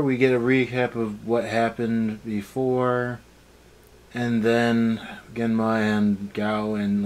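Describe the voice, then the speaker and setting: A man's voice: fairly low pitch, a little hiss or hum. A man giving a casual, unscripted episode review as a co-host, with a sarcastic, snarky way of talking. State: tired.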